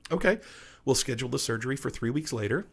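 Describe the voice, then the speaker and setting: A man's voice: medium pitch, fast, gravelly, husky. A man from Long Island, New York, a DJ guest telling personal stories in a casual interview and acting out the lines of people in them. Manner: animated storyteller who acts out quoted dialogue. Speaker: DJ and podcast guest.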